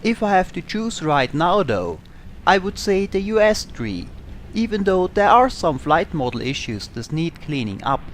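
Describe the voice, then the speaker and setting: Austrian-accented young man, lively pitch. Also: normal speed, slight background noise. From Austria, Tyrol. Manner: calm, explanatory. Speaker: gaming channel host. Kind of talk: Q&A over flight game footage.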